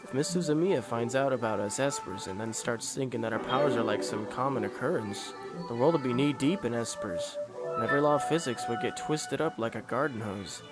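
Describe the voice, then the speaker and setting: A man's voice: medium pitch, brisk, lightly acted. Composed anime esper boy, voiced by a man, over music. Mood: calm, explanatory. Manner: smooth-talking, composed, explanatory, courteous. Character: calm, polite teenage esper boy explaining cosmic secrets. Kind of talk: amateur anime fan dub acting. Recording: loud music under the voice.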